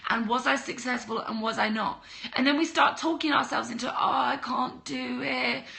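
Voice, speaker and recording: A young woman with a cold and a croaky voice, chatting on a livestream and giving blunt mindset advice. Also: high-pitched, fairly even intonation, moderate pace, bright, forceful tone. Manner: chatty, greets viewers, blunt tough-love advice. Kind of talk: casual livestream talk to viewers.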